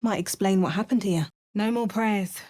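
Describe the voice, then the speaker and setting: A woman's voice: medium pitch, moderate pace, a little mellow, natural voice. A woman voicing a wry, dramatic female adventurer hero in an exaggerated mock Cockney accent, with hammy, theatrical spell chants. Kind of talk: parody game voice lines.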